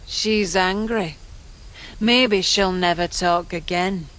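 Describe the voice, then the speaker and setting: A spiteful old female deer in an animated comic drama. The voice is bright and cutting and takes its time, dragging out each scornful taunt. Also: on the low side, slow, poor audio. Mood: bitter. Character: bitter, mocking old deer crone, scornful.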